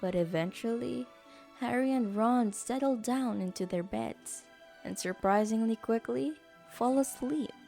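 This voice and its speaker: Female storyteller, highish soft-toned voice, unhurried narration over faint music. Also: vocal fry.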